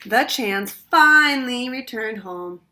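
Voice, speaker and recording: Woman reading a kids book aloud, stops to ask questions, bright voice that really bounces around. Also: on the high side, expressive, slight background noise. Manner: pauses to ask listeners questions. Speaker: storytime reader. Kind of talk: read-aloud storytime narration.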